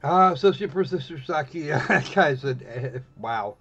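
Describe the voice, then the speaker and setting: A man's voice: fairly high pitch, lively intonation, a little slow, fairly bright tone, slightly rough. A man giving casual, snarky live commentary as he plays a visual novel, exclaiming and reading bits aloud. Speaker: streamer playing a visual novel. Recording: dull lo-fi microphone sound.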